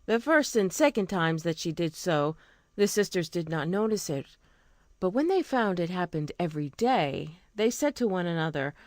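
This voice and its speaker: Expressive woman narrating a fairy tale. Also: lowish voice, normal speed, dry recording, studio quality. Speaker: storyteller.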